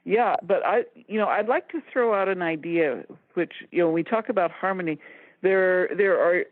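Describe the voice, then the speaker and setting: A woman's voice: fairly low pitch, moderate pace, dry recording. A woman co-host explains ideas conversationally over a narrow, phone-like line. The sound is dry and close, with little room around her voice. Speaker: radio show co-host. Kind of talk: conversational explaining on talk show.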